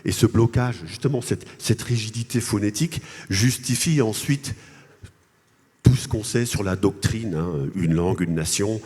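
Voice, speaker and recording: A man from Alsace whose mother tongue is Alsatian speaks as a guest on a panel. His voice sits fairly low, and he argues his points haltingly, repeating words as he goes. The big hall around him adds long reverb. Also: a little mellow, husky. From Alsace, France. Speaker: guest speaker, language association president. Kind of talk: panel interview, advocating argument with anecdotes. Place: congress hall. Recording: echoey large hall.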